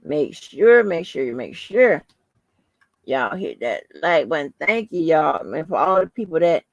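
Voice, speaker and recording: A woman hosting a casual livestream, rambling informally to her viewers in a soft, calming voice. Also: low-pitched, measured pace, creaky, no echo. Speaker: livestream host.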